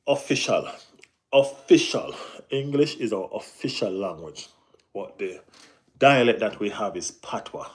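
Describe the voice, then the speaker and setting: A man's voice: lowish voice, lively intonation, unhurried. A Jamaican man hosting a casual football live stream, reading and answering chat, often saying "you know what I mean".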